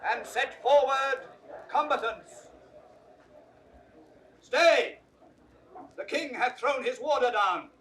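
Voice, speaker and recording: An actor playing a formal medieval herald, crying a ceremonial proclamation in declaimed Shakespearean verse. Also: male voice, very high-pitched, takes it slowly, pauses often. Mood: ringing, formal. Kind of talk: 1960s classical Shakespearean proclamation. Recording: roomy, distant, slightly noisy 1960s recording.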